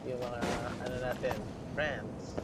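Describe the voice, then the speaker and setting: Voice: mid-range voice, steady tone, measured pace, heavy background noise. A game streamer with a Filipino accent giving casual livestream commentary in short, murmured asides. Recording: loud game music behind. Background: game music.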